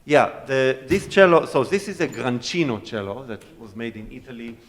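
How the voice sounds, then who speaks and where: A man's voice: mid-range voice, moderate pace, far from the mic. Hebrew-speaking cellist man, echoey hall. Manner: friendly, explanatory, demonstrates as he talks. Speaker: guest cellist. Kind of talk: Q&A talk with a concert audience. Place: concert hall.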